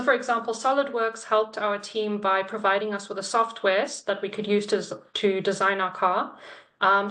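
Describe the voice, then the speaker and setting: A young woman who grew up in China, talking earnestly on a video call about her experience as a student, with a steady explanatory manner. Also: mid-range voice. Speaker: programme lead sharing her experience. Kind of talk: webinar talk.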